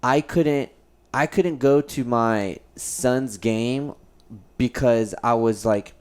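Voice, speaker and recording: A man's voice: medium pitch, takes it slowly, smooth. Reflective man rambling on a podcast. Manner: reflective, rambling, many restarts. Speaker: podcast host. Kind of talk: casual podcast conversation.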